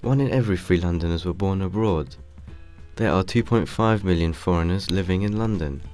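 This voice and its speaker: A man reading scripted documentary narration in a steady, formal way. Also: deep voice, fairly quick. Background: soft music.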